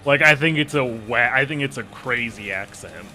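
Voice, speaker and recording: A man's voice: mid-range voice, moderate pace, bright, forceful tone, soft background music. A man co-hosting a gameplay stream, talking bluntly and animatedly, swearing casually.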